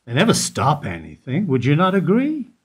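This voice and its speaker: An actor playing a weary bank security guard who gives dry, evasive answers in a radio play. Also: male voice, fairly high pitch, huge pitch swings, moderate pace, breathy edge, heavily acted. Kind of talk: amateur radio play acting.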